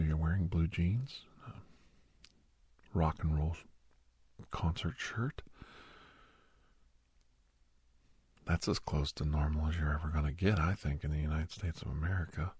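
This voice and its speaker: A man playing a doctor who counsels a young person in an ASMR roleplay, mostly whispering in a slow, breathy, reassuring but firm manner. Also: a bass voice, darkish tone, no room sound, heavily acted. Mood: reassuring, frank. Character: male doctor gently counselling a young werewolf for college.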